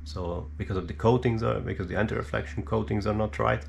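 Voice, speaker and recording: Low-voiced man, halting and gravelly. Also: fairly even intonation, slight background noise. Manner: hesitant, halting, thinking aloud with many pauses. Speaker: hobbyist presenter showing his equipment. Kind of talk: unscripted hands-on technical explanation. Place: indoor room at a cluttered worktable.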